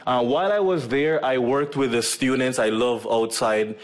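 A Jamaican man in his thirties, a medical missionary, giving a relaxed personal testimony to a church audience from a lectern in an auditorium, with many ums and uhs. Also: mid-range voice, moderate pace. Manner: relaxed storytelling with frequent fillers. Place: auditorium stage with curtain backdrop. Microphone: stand mic at a lectern.

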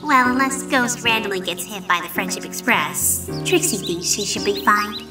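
A woman's voice: mid-range voice, moderate pace, over music, natural voice. A woman voicing a dramatic, self-important but tender young female unicorn pony in a cartoon comic dub, with a theatrical manner. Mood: weary. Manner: theatrical, speaks of herself in third person. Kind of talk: animated comic dub acting.

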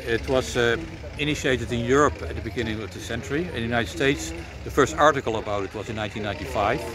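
An older man from the Netherlands with a Dutch accent speaks in English on an outdoor campus, calmly repeating phrases for emphasis, recorded on a phone held at arm's length. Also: mid-range voice, brisk. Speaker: academic delegation head. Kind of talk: street interview. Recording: outdoor crowd chatter behind.

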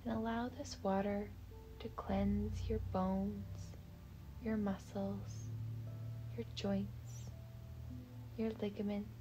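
A woman leading a guided meditation in a soft, breathy, half-whispered voice, gentle, soothing and unhurried. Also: mid-range voice, little pitch movement, highs cut off, over music.

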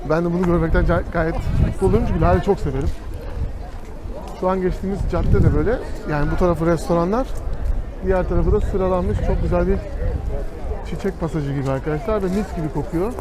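A man's voice: sits high, fast, crowd noise. A man walking through a city and chatting to his viewers, calling them friends as he points things out. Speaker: travel vlogger. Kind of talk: casual travel vlog commentary. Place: outdoors in city streets. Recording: noisy outdoor street recording. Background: street noise and passing vehicles.

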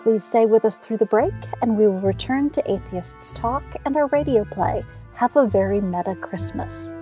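A woman's voice: on the high side, moderate pace, dark tone, faint music behind, low-quality recording. A woman hosting a radio show, reading scripted announcements.

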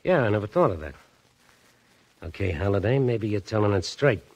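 A man's voice: on the low side, lively intonation, fairly quick, darkish tone. An actor playing a sardonic, menacing gangster henchman in a 1940s radio crime drama, talking in curt, slangy threats. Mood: hard, threatening. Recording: vintage 1940s radio recording.

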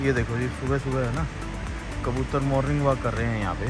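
A man chatting as he films a morning beach walk on his phone, pointing things out to the camera with excited exclamations. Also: mid-range voice, fast talker. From Haryana, India. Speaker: travel vlogger. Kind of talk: casual walk-and-talk vlog narration. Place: outdoors on a beach. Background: loud background music.

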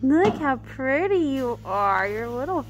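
High-pitched woman cooing to animals. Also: sing-song, a little slow. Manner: cooing baby talk to animals. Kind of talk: casual chat with a child and animals. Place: dairy farm, among calves and cows. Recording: noisy farm ambience.

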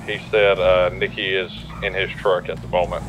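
A man's voice: low voice, flat delivery, normal speed. A man playing an online roleplay game, giving radio-style updates to his teammates. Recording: dull voice-chat audio, faint vehicle noise.

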